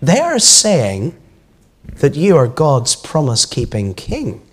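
A man's voice: medium pitch, huge pitch swings, slow, breathy edge, pitched above the performer's own voice, exaggerated voice. A man voicing angry, complaining religious leaders in a children's storybook reading. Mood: indignant complaint. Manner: indignant, complaining.

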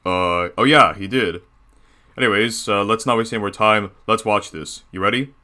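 Man playing a cartoon animatronic bear boss, low forceful voice, crude, bragging, reaction commentary. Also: normal speed. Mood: bossy, eager. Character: bossy crude male animatronic bear, self-satisfied boss.

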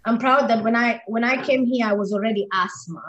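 A woman's voice: on the high side, fairly quick, fairly bright tone, reverberant, dull audio, slight background noise. A woman from Somalia who grew up in Kenya, a podcast guest speaking earnestly and emphatically in conversation. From Somalia, raised in Kenya. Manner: earnest and emphatic, repeating words for stress. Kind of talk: heartfelt conversational interview.

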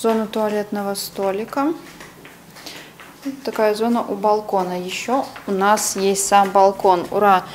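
A woman's voice: mid-range voice, flat delivery, measured pace, a little hiss or hum. A young woman giving a casual, chatty hotel tour while filming with a phone held at arm's length, with plenty of fillers and self-corrections. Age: young adult. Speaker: travel agency vlogger touring a hotel. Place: indoors in a hotel room and outdoors on resort grounds. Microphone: phone camera at arm's length.

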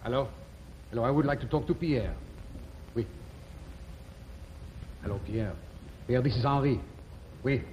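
A man's voice: mid-range voice, measured pace, long pauses, darkish tone, natural voice. An actor playing a sly French con man with a French accent, in a 1950s sitcom. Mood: scheming. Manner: oily, exaggerated politeness. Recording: dull old TV soundtrack with hiss.

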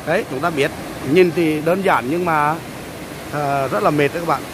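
A man casually commentating on a beach race for viewers, talking haltingly with many drawn-out fillers, outdoors by the sea. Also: on the high side. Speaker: vlogger filming a beach race. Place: outdoors on a windy beach by the surf. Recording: loud surf and wind noise.

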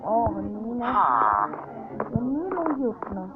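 A woman's voice: a little high, animated, measured pace, pitched below the performer's own voice. A woman voicing an easygoing uncle minding kids in an improvised game cartoon, talking casually and hesitantly. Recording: dull lo-fi audio, loud noise.

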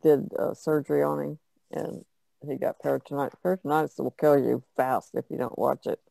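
Very creaky voice in podcast chat. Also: medium pitch, steady tone, a little fast, mellow, no echo, low-quality recording. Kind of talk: casual discussion.

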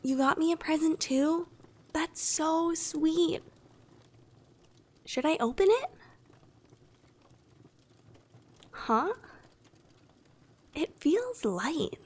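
A woman playing a shy, affectionate young anime-style girlfriend in a soft, often whispered, intimate ASMR roleplay. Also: high-pitched, animated. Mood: sweet, gentle. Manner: slow, gentle, intimate, coy.

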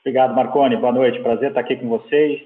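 A middle-aged Brazilian man answering conversationally as a panel guest, halting and repeating words, on a headset video call. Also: mid-range voice, steady tone, slight background noise. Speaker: business executive, panel guest. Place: indoor room. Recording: tinny, narrow video-call line.

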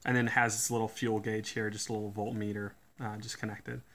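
A man doing a casual hands-on teardown at a workbench, talking in a relaxed way with lots of "uh" fillers. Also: lowish voice, fairly quick. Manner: relaxed, many "uh" fillers, technical detail. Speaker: DIY tool reviewer. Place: indoors at a workbench.

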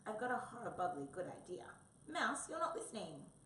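A woman's voice: mid-range voice, very animated, moderate pace, a bit bright, voice pushed up. A woman voicing a boisterous, muddle-headed male wombat in a children's storybook, with a blunt, eager, childlike manner. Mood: eager, insistent. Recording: roomy, distant mic, faint noise.

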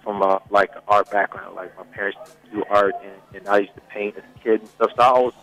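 A man's voice: on the low side, steady tone, a little fast, creaky, a bit bright, faint music behind, rough recording. A young man whose first language is Swedish, talking casually as a singer guest in a radio interview, full of "you know" fillers. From Sweden. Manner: laid-back, many fillers like "you know".

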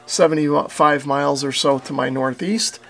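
A man's voice: on the high side, fairly even intonation, normal speed, a crowd in the background. A man in his forties giving casual how-to narration as a repair channel host.

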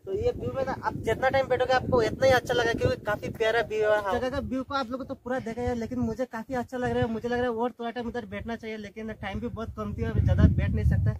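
A young man in his twenties vlogging on a phone on a selfie stick, chatting excitedly to viewers on windy hilltops and a swaying bridge. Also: very high-pitched, quick pace. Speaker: travel vlogger. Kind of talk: casual travel vlog narration. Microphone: phone camera on a selfie stick. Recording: clear wind noise outdoors.

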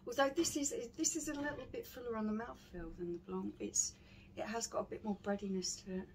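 A woman's voice: fairly high pitch, steady tone, a little fast. A middle-aged woman, sharing her opinions in a casual review chat. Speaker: beer reviewer. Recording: clearly audible background noise.

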